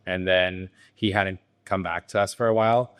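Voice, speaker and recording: A young man from Thailand with an American accent, a business founder answering interview questions in a relaxed, halting way with many ums and uhs. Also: sits low, smooth. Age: young adult. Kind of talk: casual interview. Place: his motorcycle garage.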